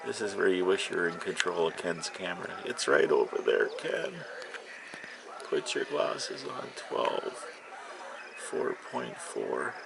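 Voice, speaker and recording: A man giving casual, rambling, unhurried vlog commentary, talking to himself and his viewers as an amateur hobbyist. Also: low-pitched, lively intonation, vocal fry, crowd noise, poor audio. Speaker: amateur vlogger and ham radio hobbyist.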